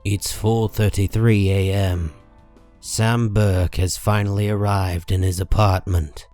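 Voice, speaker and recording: A man narrating a dark comic book drama in a measured, solemn, deliberate way. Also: fairly low pitch, flat delivery, no room sound, faint music behind.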